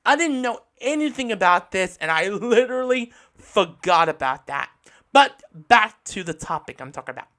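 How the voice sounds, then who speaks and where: A man's voice: high voice, very animated, moderate pace, pushed and bright. A man from Australia hosting a podcast in a casual solo talk, earnest and enthusiastic, often repeating and rephrasing as he goes. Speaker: podcast host. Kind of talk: casual podcast monologue, reviewing anime.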